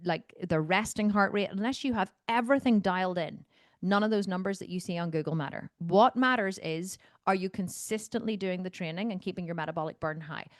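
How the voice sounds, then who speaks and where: A woman with a medium-pitched voice speaks as a fitness coach answering questions on a video call. She is blunt and insistent, driving points home by repeating phrases. The sound is dry, with very little room in it. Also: a little fast, no echo. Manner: blunt, no-nonsense.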